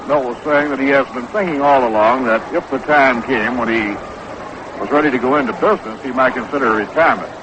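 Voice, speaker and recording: A man's voice: medium pitch, a little fast. An American man calling baseball play-by-play in the style of 1960s radio sports commentary. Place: stadium broadcast booth. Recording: dull, noisy 1960s AM radio broadcast.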